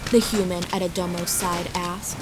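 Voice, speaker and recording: A woman's voice: fairly low pitch, moderate pace, background noise. A woman reading scripted fantasy story narration as the narrator. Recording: steady rain and storm ambience behind.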